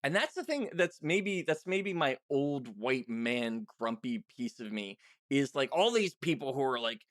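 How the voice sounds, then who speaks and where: A man's voice: mid-range voice, big pitch swings, measured pace, a bit bright, dry recording, clean studio sound. A man hosting a casual interview, talking candidly and self-deprecatingly with rambling asides. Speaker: podcast host and audio drama writer.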